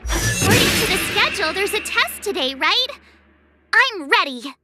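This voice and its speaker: An excitable teenage sword student from an anime-style game. The voice sits extremely high and bounces around with lots of animation. It is perky and playful, with loud music underneath. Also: female voice, moderate pace. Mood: playful, eager. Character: bubbly, cocky teenage girl learning swordplay.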